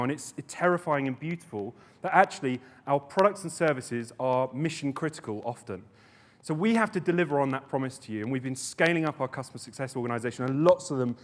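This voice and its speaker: A man on a conference stage introducing a panel, often breaking off mid-sentence to restart his thought. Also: mid-range voice. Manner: rambling, self-interrupting. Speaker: conference presenter.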